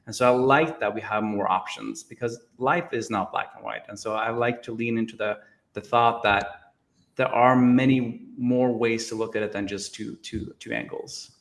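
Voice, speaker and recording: A man's voice: mid-range voice, steady tone, normal speed. A man from Norway speaking in a casual panel discussion, earnest and explanatory. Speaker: guest hypnotist on a spiritual talk show.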